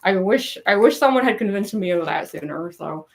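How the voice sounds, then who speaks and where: A young woman with a gruff, raspy voice and a goofy laugh, chatting casually with viewers on a livestream, rambling with lots of fillers. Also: mid-range voice, expressive, moderate pace. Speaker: cosplay livestreamer.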